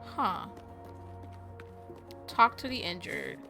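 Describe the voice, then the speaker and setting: A woman's voice: on the high side, drawn-out words, sharp tone. A woman game streamer giving casual playthrough commentary and reading game text aloud. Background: game music.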